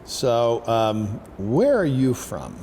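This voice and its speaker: A man's voice: mid-range voice, big pitch swings, extremely slow, mellow, a little hiss or hum. A man hosting an interview show, chatting casually with many fillers and friendly questions. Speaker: talk show host.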